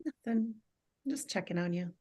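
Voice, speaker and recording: A woman's voice: mid-range voice, moderate pace, warm tone, slightly rough, natural voice. A woman reading the part of a wry wife and mother in a drama table read. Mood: gently concerned. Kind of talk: scripted drama read aloud.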